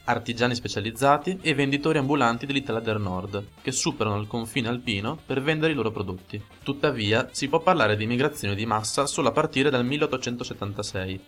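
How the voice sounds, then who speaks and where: A man's voice: medium pitch, a little fast, few pauses, fairly bright tone. A man co-hosting a radio program, reading scripted historical narration in a formal, informative way. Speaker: radio host. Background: music under the voice.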